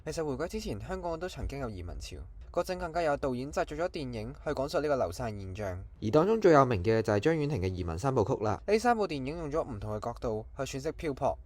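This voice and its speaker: A man's voice: medium pitch, very animated, fast talker, hardly any pauses, a little mellow, dry recording. A man with a Hong Kong Cantonese accent presents scripted film trivia as an online channel host.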